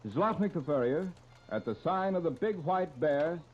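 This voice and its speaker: A man's voice: on the high side, animated, moderate pace. A man with an American accent announcing on 1930s radio in a formal, polished, scripted style, heard on an old broadcast recording. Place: radio studio. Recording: dull, lo-fi 1930s radio transcription disc.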